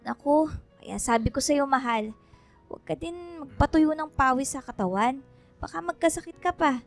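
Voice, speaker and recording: A woman's voice: high voice, normal speed. A woman acting a caring, fussing wife and mother in a radio drama, attentive and affectionate, with a Filipino accent. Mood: worried, fussing. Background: background music.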